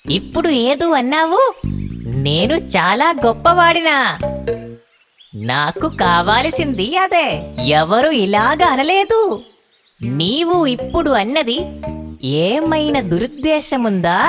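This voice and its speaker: A woman voicing a cunning, boastful fox in a children's animated fable, muttering schemes and drawing out the words. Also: high-pitched, slow, pauses often, over music, heavily acted. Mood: boastful, suspicious. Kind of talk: animated children's story acting.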